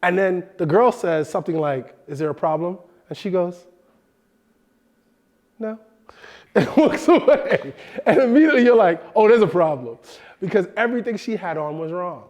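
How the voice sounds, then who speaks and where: A man in his thirties hosting a film production lesson in a studio, animated and expressive, sounding a bit nasal from a cold. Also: sits high, normal speed, pauses often. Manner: animated, expressive, gestures with hands. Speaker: host. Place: indoor studio set.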